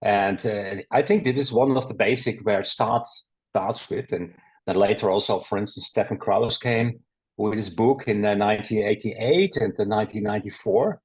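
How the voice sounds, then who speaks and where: Middle-aged Dutch-accented male guest, husky timbre, hesitant with many fillers. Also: on the low side, moderate pace, rough recording. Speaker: guest physical therapist. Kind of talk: podcast interview.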